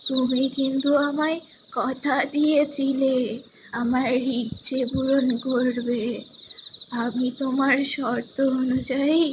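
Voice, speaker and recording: A woman playing a poor, clever old village mother-in-law in a children's fairy-tale cartoon, speaking plainly and deliberately. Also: sits high, level tone, background noise. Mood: shrewd, assured. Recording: loud background music.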